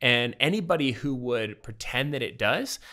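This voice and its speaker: A man in his thirties, a podcast guest, telling a personal story in casual, animated talk full of fillers and acted-out quotes, on a studio mic at home. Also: medium pitch, normal speed. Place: home room with bookshelves. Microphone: studio mic with pop filter, headphones.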